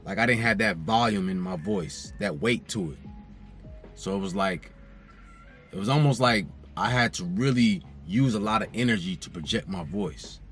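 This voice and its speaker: A young man with a somewhat low voice talks straight to his viewers in a relaxed, conversational way, circling back and repeating phrases to drive a point home. Faint music plays underneath. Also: normal speed. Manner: laid-back, conversational, repeats himself for emphasis. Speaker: vlogger. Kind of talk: casual direct-to-camera vlog talk.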